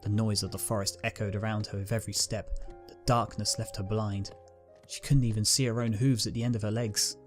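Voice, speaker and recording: A man's voice: lowish voice, little pitch movement, fairly quick. Male narrator of dramatic fiction, music behind. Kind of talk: dramatic scripted story narration.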